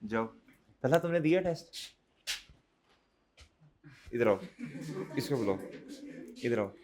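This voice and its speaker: A man, lecturing accounting students in a hall and loudly teasing and scolding them. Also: mid-range voice, lively intonation, slow, a little hiss or hum. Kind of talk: lively classroom lecture.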